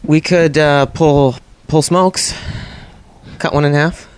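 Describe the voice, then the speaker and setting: A man's voice: fairly high pitch, fairly even intonation, slow, slight background noise. A man co-hosting a casual podcast, cracking crude jokes and needling the other hosts. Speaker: podcast co-host. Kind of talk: casual banter.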